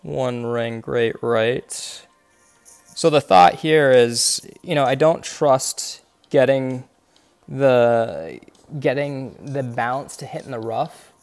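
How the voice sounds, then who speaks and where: A man's voice: medium pitch, slow pace, a little mellow, clear, resonant tone, soft background music. A man streaming a mobile golf game, explaining each of his shots step by step with many fillers while congested and coughing now and then. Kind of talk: live gameplay commentary.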